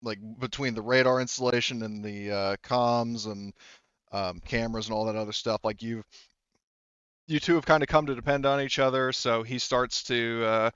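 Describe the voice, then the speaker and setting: A man's voice: medium pitch, steady tone, normal speed, a bit bright, clean tone, no room sound, lo-fi sound. A man casually improvising narration as a tabletop game master, conversational and thinking aloud as he goes.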